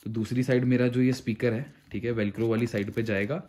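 A man's voice: mid-range voice, flat delivery, a little fast, darkish tone. A man explains a product step by step in a conversational vlog style, mixing in English phrases. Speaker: motorcycle gear reviewer. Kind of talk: casual hands-on product tutorial.

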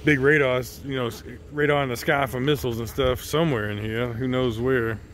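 A man's voice: mid-range voice, fairly even intonation, brisk, fairly bright tone, a little hiss or hum. A middle-aged man giving casual, unscripted vlog commentary in a hesitant, rambling way. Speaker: vlog host.

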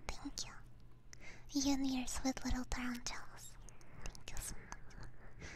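A woman's voice: high voice, flat delivery, unhurried. Whispering woman, ASMR streamer. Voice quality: breathy, mostly unvoiced whisper. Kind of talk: whispered ASMR, casual chat with stream viewers. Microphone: close binaural ASMR microphone. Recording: faint background noise, soft clicks.

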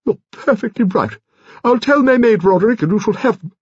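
An imperious old British noblewoman, acted out by a man, grandly blunt and crusty. The voice is pitched high and swoops about with a husky edge, declaiming every remark as a storybook character. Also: expressive, a little fast, darkish tone, dry recording, lo-fi sound. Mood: grand, brisk. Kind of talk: audiobook character acting.

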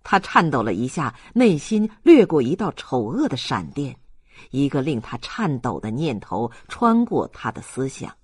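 A man's voice: mid-range voice, huge pitch swings, measured pace, a little mellow, breathy edge, dry recording, studio quality. A man narrating a literary audiobook in a dramatic storytelling style.

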